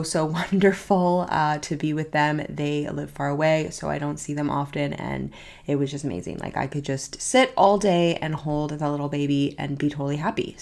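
A woman's voice: low voice, vocal fry, a little mellow. A young woman hosting her own podcast in a casual, upbeat solo chat, sounding nasal and congested from a cold. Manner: chatty, upbeat, says "you guys" often. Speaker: podcast host.

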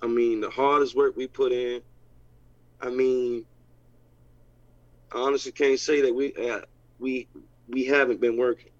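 Excited football coach. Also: male voice, mid-range voice, measured pace, long pauses. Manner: excited, repetitive, informal phrasing. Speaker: head coach and team owner. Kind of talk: casual chat. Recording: dull lo-fi sound.

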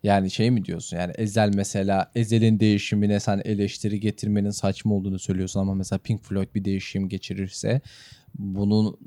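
A man's voice: on the low side, flat delivery, fast talker, mellow, dry recording. A man co-hosting a podcast, discussing and arguing points in a casual, conversational way. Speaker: podcast co-host. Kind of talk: casual chat, music discussion.

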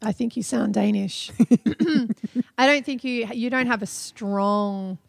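A woman co-hosting a podcast in casual chat, chatty and quick to laugh, often trailing off and starting over. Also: fairly high pitch, gravelly, dry recording, studio quality. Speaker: podcast co-host.

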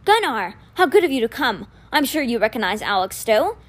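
A woman voicing a kindly, wise old man in an audiobook, patient and measured, with a gentle gravity. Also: fairly high pitch, very animated. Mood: courteous, earnest. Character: kindly, wise old male magician mentor, gently grave.

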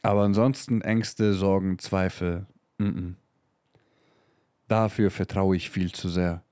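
A man in his thirties speaks in a low register, close and dry, as he hosts his own motivational podcast. His talk is emphatic and full of swearing, with English slang dropped in among the German. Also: steady tone, measured pace, warm tone, dry recording. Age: 30s. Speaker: podcast host.

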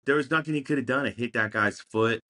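Punchy male sports podcast co-host, mid-pitched. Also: quick pace, pushed and bright. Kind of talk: casual sports banter.

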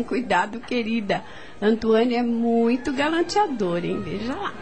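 Casting: actress as genteel Brazilian-accented society aunt, theatrical and gushing, fairly high voice, period radio play. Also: female voice, animated, a little slow, a little mellow. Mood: playful, commanding. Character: elegant, sociable society hostess aunt in her thirties. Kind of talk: radio drama acting in a period story. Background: music.

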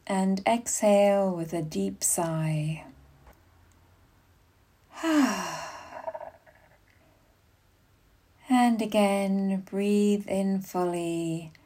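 A woman leading a guided meditation as a yoga nidra guide, giving soothing, unhurried instructions with long pauses. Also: fairly low pitch, dark tone.

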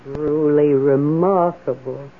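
An actress playing a tipsy elderly schoolteacher and mistress, sentimental, wry and frank, in a 1970s radio drama. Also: female voice, sits low, fairly even intonation, takes it slowly, phone-quality sound, a little hiss or hum. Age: older. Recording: band-limited old radio drama recording.

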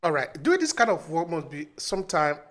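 A man's voice: fairly high pitch, big pitch swings, brisk, fairly bright tone. A man interviewing a guest, asking inquisitive, searching questions.

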